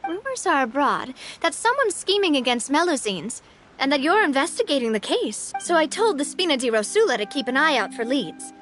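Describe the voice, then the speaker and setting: A confident young gang leader. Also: female voice, sits high, very animated, fairly quick, fairly bright tone. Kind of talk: video game voice acting. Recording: game audio with music behind.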